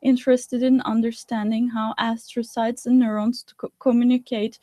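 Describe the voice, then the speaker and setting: A fairly high-pitched woman talks through her work in a thoughtful, measured way, pausing on fillers and restarting phrases. The sound is dry and close, with no room around her, as on a video call. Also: little pitch movement, dark tone, no echo. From Turkey. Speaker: guest scientist. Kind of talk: interview answers.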